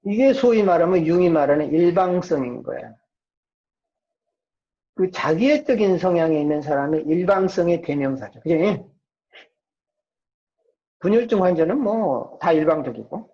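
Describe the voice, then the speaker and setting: A man's voice: fairly high pitch, lively intonation, normal speed, long pauses, mellow. A man lecturing informally as a psychology professor, often asking questions and answering them himself. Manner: asks and answers own rhetorical questions. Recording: dull, muffled lo-fi lecture recording.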